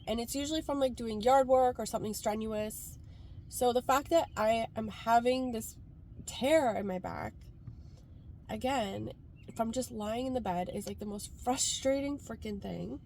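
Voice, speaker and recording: A woman's voice: on the high side, darkish tone, slight background noise. A woman from Canada with a congested, stuffed-up voice, talking in a casual, rambling car vlog style full of "like". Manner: informal, rambling, frequent "like". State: congested from a cold. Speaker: vlogger. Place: sitting inside a car.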